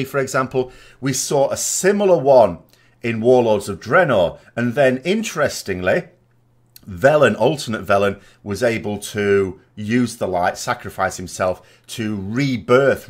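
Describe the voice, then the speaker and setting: Man giving emphatic, discursive gaming commentary. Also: on the low side. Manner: discursive, emphatic on key words, with asides. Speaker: gaming video host. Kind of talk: informal video game lore commentary.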